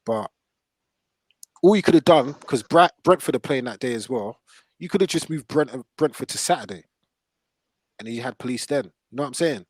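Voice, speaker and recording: A man's voice: medium pitch, lively intonation, normal speed, pauses often, a little mellow, breathy edge, no room sound. A man hosting a casual football discussion in slangy, emphatic speech. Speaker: podcast host.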